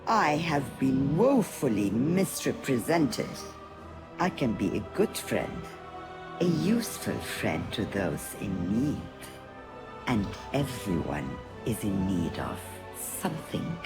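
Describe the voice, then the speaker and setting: Casting: elusive, insinuating game broker woman, deep female register, unhurried delivery, underscored by music. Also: sing-song, exaggerated voice. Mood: silky persuasion. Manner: smooth, insinuating, measured. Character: sly, elusive woman broker feigning friendship. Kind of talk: video game cutscene acting. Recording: music behind the voice. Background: game score music.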